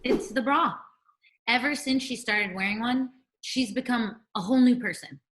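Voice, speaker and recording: A woman's voice: fairly high pitch, moderate pace, a bit bright, natural voice, low-quality recording. An American woman playing a bold, bossy thirteen-year-old schoolgirl in a script table read on a video call. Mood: matter-of-fact. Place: room at home, on a group video call.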